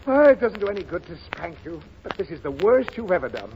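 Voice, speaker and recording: Casting: exasperated comedy dad, high swooping voice, scolding, muffled old radio sound. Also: male voice, big pitch swings, fast. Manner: groaning, scolding, flustered. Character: exasperated, long-suffering daddy of a naughty child. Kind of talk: 1930s radio comedy acting. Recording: muffled, faintly noisy 1930s radio recording.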